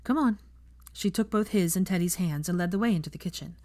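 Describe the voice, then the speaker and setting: A woman's voice: on the low side, fast talker, warm tone, no room sound. A woman reading a story aloud as an audiobook narrator.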